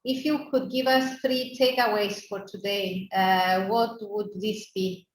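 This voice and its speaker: A woman moderating a webinar, hesitant and full of fillers and restarts, at a mid pitch. Her video-call audio sounds roomy, with a faint hiss of background noise. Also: fairly even intonation, unhurried.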